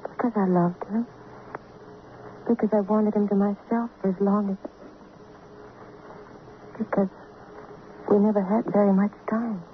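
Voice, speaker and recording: An actress playing a grieving, lovelorn young woman in a 1950s radio drama, speaking in halting, wistful phrases. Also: medium pitch, steady tone, natural voice. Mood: anguished. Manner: halting, wistful, short simple sentences. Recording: tinny, noisy old radio recording.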